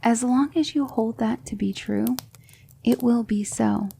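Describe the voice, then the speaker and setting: A woman playing a gentle, patient demonic magic mentor in an ASMR roleplay, speaking in measured, hushed instruction that slips into whispers. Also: a little high, vocal fry, slight background noise. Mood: calm, reassuring. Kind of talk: ASMR roleplay, soft speech slipping into whispers.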